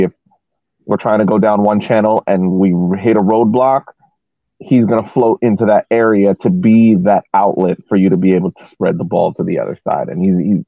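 A man talking casually as a podcast panelist analysing soccer, explaining at length in a halting way with repeated words. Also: lowish voice, no room sound. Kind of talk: casual match analysis discussion. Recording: thin phone-like line.

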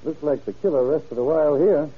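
An actor in an old-time radio crime drama playing a folksy, methodical middle-aged county sheriff, speaking plainly and deliberately. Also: male voice, fairly high pitch, husky. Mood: methodical, pondering. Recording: muffled vintage radio drama recording.

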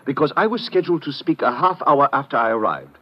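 An actor playing an earnest, bewildered foreign gentleman with formal, hesitant phrasing in an old-time radio drama. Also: medium pitch, animated, fast, muffled recording, natural voice. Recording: slightly rough vintage radio recording.

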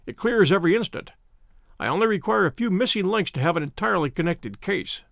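A man voicing a cool, brilliant male detective who explains his reasoning crisply, like a professor to a class. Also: high-pitched, expressive, fast talker, fairly bright tone, no echo, studio quality, heavily acted. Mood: calm assured.

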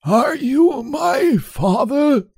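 A man's voice: extremely high pitch, sing-song, drawn-out words, creaky, darkish tone, no room sound, studio quality, voice pushed up, full character voice. A man voicing a querulous, weary old man of seventy with a cracked, whining voice, in a storybook reading. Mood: querulous demanding.

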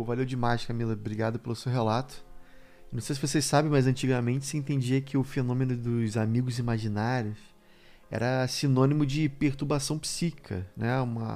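Brazilian guy hosting a podcast, middle pitch, informal, talking right to the listeners. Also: a little mellow. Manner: addresses listeners directly, informal. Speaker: podcast host. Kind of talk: conversational podcast commentary.

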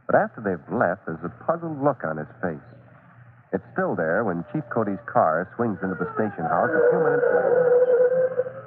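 Old radio announcer guy narrating, very animated, thin noisy recording. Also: lowish voice, normal speed, narrow, tinny audio.

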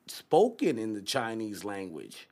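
A man's voice: on the low side, very animated, measured pace, mellow. A man hosting a casual podcast interview, wordy and often repeating phrases as he talks. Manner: wordy, repeats phrases while forming questions. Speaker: podcast host.

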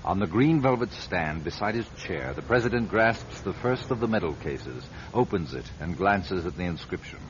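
A man narrating a radio drama in a grave, deliberate storytelling manner. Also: low-pitched, lively intonation, slight background noise. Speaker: narrator and writer-director. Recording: dull lo-fi radio recording.

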